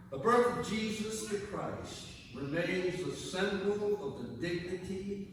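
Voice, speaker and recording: Heavily reverberant noisy recording, man, solemn church reader, oratorical, dramatic emphasis, deliberate slow delivery. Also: fairly high pitch. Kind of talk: solemn sacred reading, oratorical sermon delivery. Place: large reverberant church indoors. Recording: very echoey church hall, far mic, noisy.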